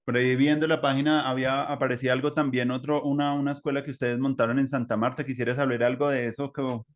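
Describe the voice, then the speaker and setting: Male podcast interviewer, Colombian accent, medium pitch, informal and rambling conversational delivery. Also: level tone, fast. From Manizales, Colombia. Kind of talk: casual chat.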